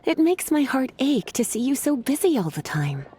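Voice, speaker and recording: Gentle, highly expressive caring young woman. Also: normal speed, background noise. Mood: tender, concerned. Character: gentle, caring young woman fussing over someone's wellbeing. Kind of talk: video game character dialogue.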